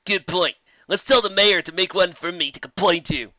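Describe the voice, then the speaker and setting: A reader voicing a brash, boastful young mare DJ who talks loudly in slang. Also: mid-range voice, moderate pace, no room sound, dull audio, voice pushed up, lightly acted. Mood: cocky.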